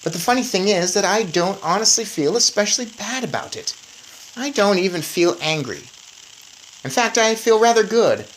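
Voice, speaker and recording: Woman voicing an eager, lively boy turned mouse. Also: mid-range voice, normal speed, slight background noise, voice pushed up, natural voice, poor audio. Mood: calm, upbeat.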